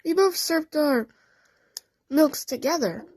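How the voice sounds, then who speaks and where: A woman narrating a scripted first-person story, with halting phrasing and odd pauses. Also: high voice, lively intonation, darkish tone, dry recording. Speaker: narrator of a fan audio play.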